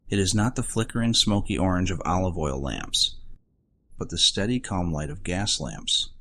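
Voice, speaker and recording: A man reading a scripted sleep story in a soothing, measured manner. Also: sits low. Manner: slow, soothing, measured delivery. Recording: faint ambient drone noise behind voice. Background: soft ambient drone.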